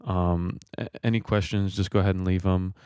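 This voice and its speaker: A man in his twenties talking casually and low-key as he explains his home recording setup into a close mic. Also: steady tone, normal speed, mellow, smooth, dry recording. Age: 20s. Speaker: musician and host of a home recording video. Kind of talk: casual explanatory vlog talk. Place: small home studio room, indoors. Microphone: close microphone, handheld or on a stand.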